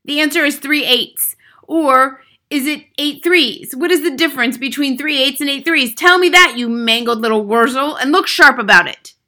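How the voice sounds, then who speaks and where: Storybook villain: enormous tyrant headmistress, shouted, forceful, barking insults, pitched up. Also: female voice, brisk. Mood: furious. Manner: booming, barking, hurling insults. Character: huge bullying headmistress, a scornful, raging tyrant.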